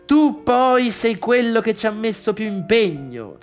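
A man's voice: high-pitched, very animated, a little slow, faint music behind. A man playing a jovial, boastful older father in stage dialogue, hearty and emphatic. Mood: jovial, pushy.

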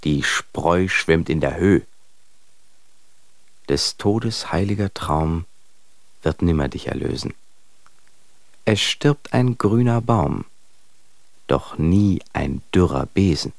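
An actor playing a bitter husband who declaims scornful verse solemnly and theatrically in a radio drama. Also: male voice, sits low, expressive, slow, vocal fry, pauses often, no echo, heavily acted. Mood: grim, condemning.

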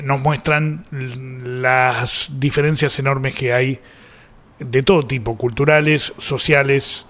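A man's voice: fairly high pitch, fairly bright tone. A man with a Rioplatense Argentine accent co-hosting a radio show, chatting casually in a teasing, bantering way. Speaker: radio co-host.

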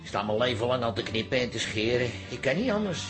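Grumbling barber with mid-pitched voice played by a man. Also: very animated, fast talker, music in the background, close to an everyday voice. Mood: aggrieved. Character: grumbling, hapless neighbourhood barber. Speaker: radio drama actor. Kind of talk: modern comic radio drama acting.

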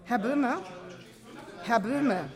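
An actress playing a hesitant, apologetic clinic secretary in a radio drama. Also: female voice, fairly high pitch, drawn-out words, over music, crowd noise.

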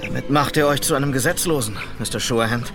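A man plays a law-abiding frontier policeman with a creaky, gravelly voice. He is sober and courteous, speaking with restraint in a radio western with music behind him. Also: mid-range voice, brisk, close to an everyday voice. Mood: firm, sober. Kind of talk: German western radio drama acting.

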